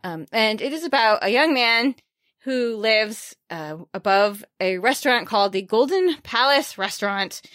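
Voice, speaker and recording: A woman's voice: on the high side, lively intonation, unhurried, fairly bright tone, no room sound, studio quality. A woman hosting a book podcast in casual chat, bubbly and talkative, laughing often. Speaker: podcast host.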